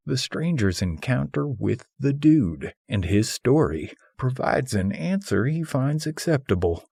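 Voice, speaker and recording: Low, husky male audiobook narrator. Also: a little mellow, no room sound, clean studio sound. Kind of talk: scripted audiobook narration of a humorous philosophical essay.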